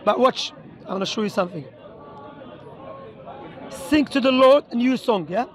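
A man with a very high voice argues insistently in a busy outdoor park, often repeating words and reading scripture aloud. He is picked up on a handheld camera close by, with a crowd murmuring around him. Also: moderate pace. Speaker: street preacher debating a passer-by. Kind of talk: street debate. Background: crowd chatter.

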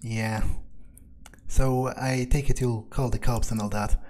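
Bright-toned man rambling in character. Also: medium pitch, fairly even intonation, a little slow, a little hiss or hum. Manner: hesitant, rambling, casually profane. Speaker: let's player. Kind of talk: in-character improvised game roleplay, thinking aloud.

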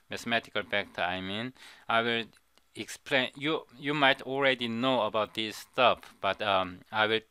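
Korean-born middle-aged man, slow and halting, bright clear voice, dry close sound. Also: lowish voice, dry recording. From South Korea. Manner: halting, repeats and restarts words. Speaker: programming tutor. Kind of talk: screen-recorded coding tutorial.

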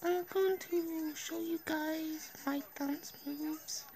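High-voiced woman with a heavy cold. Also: fairly even intonation, slow, creaky, darkish tone, soft background music. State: stuffy with a cold. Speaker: gameplay commentator. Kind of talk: casual gameplay commentary. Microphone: phone screen recorder mic.

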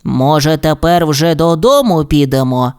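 A timid young tyrannosaurus boy from a storybook, as a woman plays him. He sounds fairly low and expressive. His manner is cautious, earnest and reluctant, protesting against any risk. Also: measured pace, clear, resonant tone. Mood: worried.

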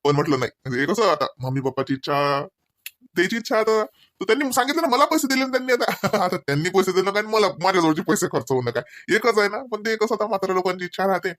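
A man's voice: high-pitched, animated, quick pace, fairly bright tone, dry recording, clean studio sound. A man voicing a chatty young father in a comedy cartoon, rambling deferentially in colloquial rural Marathi with a Vidarbha accent. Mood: explaining, sheepish.

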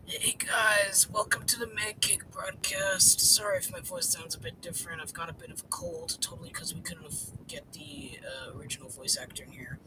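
A man putting on a squeaky goofy TV news anchor. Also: wildly swooping pitch, moderate pace, few pauses, background noise, heavily acted. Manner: jokey, self-mocking, rambling with fillers. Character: goofy male TV news anchor hosting a broadcast.

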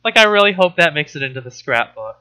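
A man's voice: a little high, very animated, fast talker, sharp tone. A man giving a casual comedic rant as a book commentator, with an exasperated, sarcastic, profane way of talking. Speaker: host reviewing a book.

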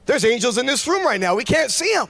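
A man in his forties preaching a sermon in a large church, speaking into a handheld mic with fervent, shouting delivery. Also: very high voice, big pitch swings, fast, gravelly. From United States. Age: 40s. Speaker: pastor. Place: large church stage with congregation.

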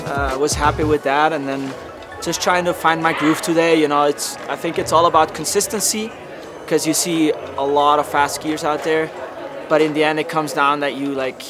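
A young man, a pro ski racer, talking casually in an interview in a large indoor hall, rambling with lots of fillers and restarts. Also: a little high. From Belgium.

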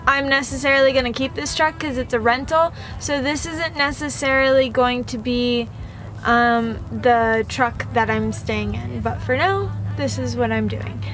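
A woman's voice: sits high, a bit bright. A young woman chatting casually and informally to the camera as a vlog host, recorded inside a truck cab. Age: young adult.

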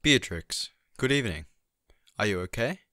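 Man playing a formal, low, crisp-edged scientist. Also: expressive, bright, forceful tone, no room sound. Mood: formal concern. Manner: stiff, formal, measured. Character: formal, precise, politely concerned scientist. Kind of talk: radio play acting.

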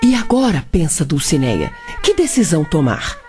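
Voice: medium pitch, very animated, moderate pace, over music. A narrator with a Brazilian accent telling a radio-play fable in a theatrical, playful way.

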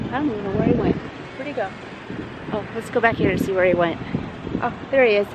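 A woman in her thirties talks in a light, soft voice, chatty and quick to laugh between remarks. She holds a camera with its built-in mic. Loud traffic and wind noise fill the outdoor parking lot. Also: mid-range voice, highs cut off. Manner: chatty, laughing, tour-guide asides. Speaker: vlogger. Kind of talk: casual travel vlog. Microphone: handheld camera mic.

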